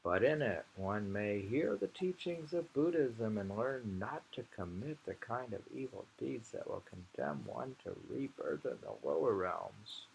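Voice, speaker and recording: Man, gravelly creaky voice, unhurried pace, halting poetry reading with musing asides, noisy amateur recording. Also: medium pitch, animated. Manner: musing, halting, pauses often. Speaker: poetry reader and commentator.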